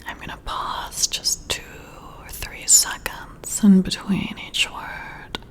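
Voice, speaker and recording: Slow, whispering ASMR woman. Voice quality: breathy, unvoiced whisper. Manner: soothing, gentle, deliberate instructions. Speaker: ASMR creator role-playing a boss giving a personality test. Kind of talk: whispered ASMR role-play. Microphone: close-up ear-to-ear microphone.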